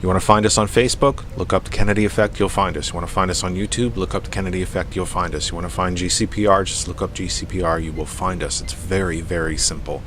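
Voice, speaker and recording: A male radio host with a low voice and a stuffy, allergy-congested sound. He delivers live program announcements deliberately, doubling back on phrases to stress them. A low rumble of background noise runs under the recording. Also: steady tone, a bit bright. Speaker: radio talk show host.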